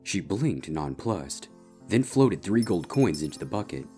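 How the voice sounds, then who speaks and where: A male narrator with a deep voice reads a scripted story. Quiet instrumental music sits behind the narration. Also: sing-song, a little slow, slightly rough. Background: soft instrumental guitar music.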